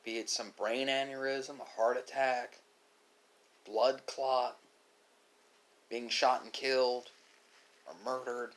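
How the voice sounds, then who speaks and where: A man delivering a reflective monologue, speaking philosophically with dramatic pauses and emphasis. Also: medium pitch, little pitch movement, fairly bright tone, rough recording. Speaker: video creator.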